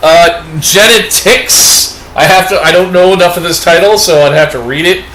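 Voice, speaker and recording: Brief: upbeat Canadian male comic reviewer, bright slightly high voice, rambling casual show-and-tell. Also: normal speed, a little hiss or hum. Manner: rambling and upbeat, with many fillers. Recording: echoey room.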